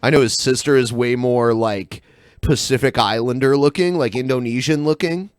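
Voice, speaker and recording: A man's voice: medium pitch, normal speed, clear, resonant tone, no room sound, clean studio sound. A man co-hosting a casual podcast, exasperated and dismissive, swearing freely. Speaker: podcast co-host.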